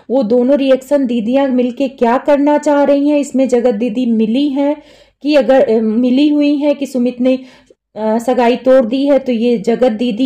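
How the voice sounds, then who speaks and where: A woman with an Indian accent and a very high, soft-edged voice talks as an online commentator. Her delivery is casual and explanatory, building an argument step by step with rhetorical questions. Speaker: YouTube commentator.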